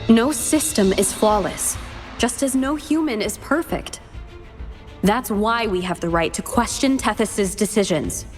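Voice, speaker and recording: A woman playing a principled, humane leader in a video game, speaking in earnest, measured speeches. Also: mid-range voice, animated, faint music behind, natural voice. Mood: earnest, resolute. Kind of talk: scripted game voice acting.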